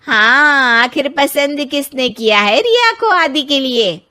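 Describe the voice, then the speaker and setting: A woman's voice: high voice, expressive, slow, pushed and bright, pitched above the performer's own voice, exaggerated voice. A woman voicing a bossy, proud middle-aged village mother-in-law in a cartoon story, emphatic and insistent.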